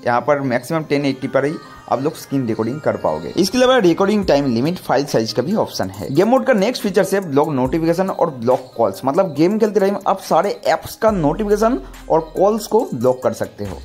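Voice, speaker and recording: A man's voice: on the high side, a little mellow. A man narrating a scripted tutorial as a gaming channel host, explaining step by step and addressing viewers directly.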